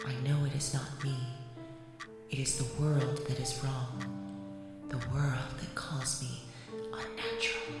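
A woman voicing a sorrowful, persecuted accused witch, hushed and chanting, in an incantatory audio drama monologue. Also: a bass voice, vocal fry, heavy reverb, big-room sound, heavily acted. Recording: music bed behind voice.

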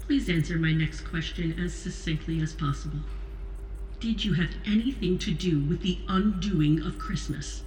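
A woman playing a stern, commanding president in an audio drama, speaking in a measured, authoritative way. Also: lowish voice, darkish tone, natural voice. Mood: probing. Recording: roomy echo, faint noise.

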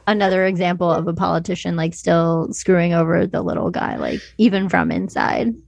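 A woman's voice: on the low side, fairly even intonation, brisk, gravelly, a little mellow. A woman co-hosting a film podcast, talking in a casual, conversational way. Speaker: podcast co-host discussing films.

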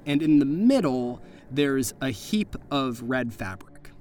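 A man's voice: medium pitch, sing-song, takes it slowly, a little mellow. Male game master, mid-range voice, improvising spooky scenes in casual, slangy narration. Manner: conversational, slangy storytelling.

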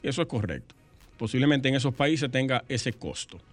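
A man's voice: mid-range voice, lively intonation, normal speed, faint music behind. A man hosting a radio talk show, steadily reading out figures and phone numbers. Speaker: radio host.

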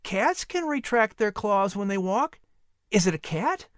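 An eager young boy with a high, lively voice, as a male narrator puts him on, giving careful answers. The sound is dry and close. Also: a little slow, no echo. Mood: nervous.

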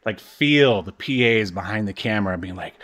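Halting man in casual podcast chat. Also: fairly low pitch, lively intonation, a bit bright. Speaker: podcast co-host; actor and fiction writer.

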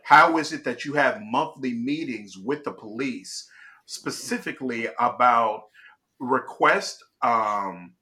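Male host, from Chicago, bright and forceful tone, emphatic conversational commentary. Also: mid-range voice, animated, slow. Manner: emphatic, restarts and checks in with "all right?". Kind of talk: casual political commentary.

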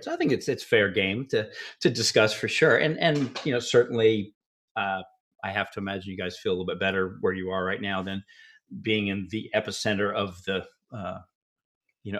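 Low-voiced man hosting a casual podcast. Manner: relaxed storytelling, chatty with many fillers. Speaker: podcast host.

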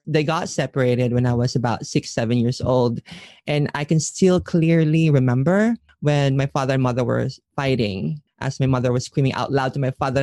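Filipino-accented storyteller, mid-pitched male voice, dry sound. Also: darkish tone, dry recording. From Philippines. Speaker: interview guest. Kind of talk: personal storytelling.

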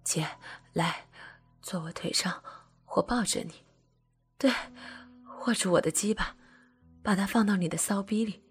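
A woman voicing an eager younger brother, speaking in a breathy, hushed half-whisper. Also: unhurried, long pauses, soft background music. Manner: low murmuring, half-whispered.

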